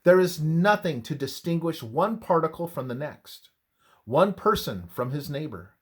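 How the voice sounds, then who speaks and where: Male narrator for devotional script: unhurried and deliberate, highly varied intonation, emphatic pauses. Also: animated, husky. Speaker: reader of a religious devotional text.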